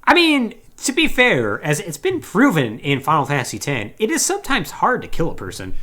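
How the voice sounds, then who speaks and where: A man hosting a podcast, casually retelling a video game plot in a slangy, animated, joking way. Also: fairly high pitch, moderate pace. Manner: animated, slangy storytelling with mocking acted-out quotes. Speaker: podcast host.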